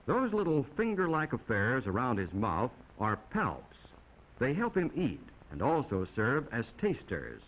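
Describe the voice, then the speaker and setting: Very animated 1950s American educational film narrator, man. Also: medium pitch, a little slow. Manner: folksy, instructive, chatty asides to young viewers. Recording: dull, noisy 1950 film soundtrack.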